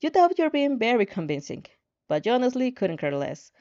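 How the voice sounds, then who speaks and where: Mellow, expressive woman narrator. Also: on the high side, fairly quick, muffled recording. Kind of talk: expressive fan fiction narration.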